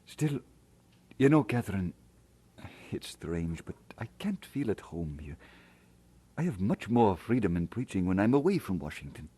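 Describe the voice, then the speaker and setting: An actor playing an earnest, modest Scottish minister in an audio drama, with a husky voice and expressive delivery. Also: male voice, fairly low pitch, moderate pace. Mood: troubled, reflective.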